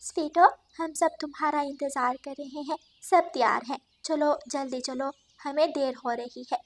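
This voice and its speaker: A woman voicing a caring, worried mother bird in an animated moral story. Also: sits high, normal speed, pitched above the performer's own voice. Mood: concerned, urging.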